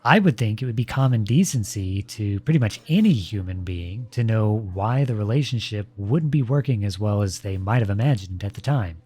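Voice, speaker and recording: A man playing a protective boyfriend in a scripted audio roleplay, with measured, formal, pointed phrasing, polite but cutting. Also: fairly low pitch, animated, darkish tone, slightly rough. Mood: cool, probing.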